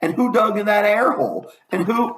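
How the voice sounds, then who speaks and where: A man's voice: high voice, normal speed, fairly bright tone, roomy sound, slight background noise, voice pushed up, poor audio. A man putting on a fussy old gossip woman. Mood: astonished.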